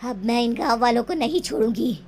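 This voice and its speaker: Woman as a high-voiced vengeful witch ghost. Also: animated, fairly quick, darkish tone, a little hiss or hum. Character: vengeful female witch ghost, outcast scorned in love.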